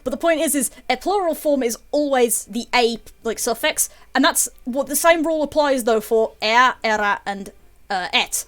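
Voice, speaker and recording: A woman's voice: high-pitched, moderate pace, fairly bright tone. A young woman chatting casually while streaming a game, swearing freely and laughing often. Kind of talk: casual chat while gaming.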